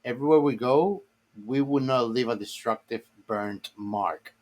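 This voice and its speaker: A man's voice: mid-range voice, a little slow, darkish tone, low-quality recording. A man from the Dominican Republic giving opinions in a casual discussion, repeating phrases for emphasis. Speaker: podcast panellist.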